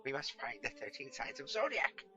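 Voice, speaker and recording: A man's voice: medium pitch, very animated, moderate pace, pitched above the performer's own voice, lightly acted. A man voicing an anxious, superstitious old wizard from medieval times in a storybook, pleading and exclaiming in archaic speech. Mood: urgent. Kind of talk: storybook character voice.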